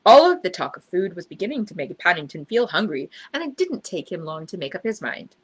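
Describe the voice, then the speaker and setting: A woman reading a children's story aloud in expressive narration. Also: on the low side, brisk, slightly rough. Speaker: storybook reader.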